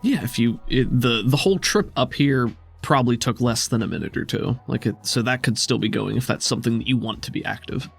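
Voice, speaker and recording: A man's voice: mid-range voice, a little fast, vocal fry, breathy edge, faint music behind. A man running a tabletop game, improvising descriptive narration in a conversational way. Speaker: game master.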